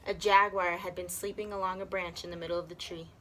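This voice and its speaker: A woman's voice: on the low side, flat delivery, fast, sharp tone, poor audio. A woman reading a children's story aloud expressively, as a teacher does. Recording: clear background noise.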